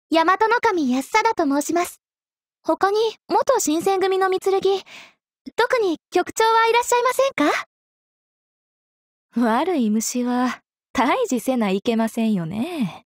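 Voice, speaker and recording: An actress voicing a young sword maiden in an anime mobile game, polite and coy, with a teasing, possessively sweet manner. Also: female voice, extremely high pitch, expressive, slow, pauses often, dry recording, studio quality, exaggerated voice. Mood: polite, composed. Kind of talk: Japanese anime mobile game character lines.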